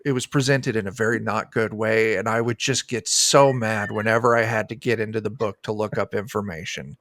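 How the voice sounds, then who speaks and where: A man's voice: fairly low pitch, fairly even intonation, a little fast, fairly bright tone, no room sound, clean studio sound. A middle-aged man, a guest game designer, chatting casually in an interview on a video call. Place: indoor room, on a video call.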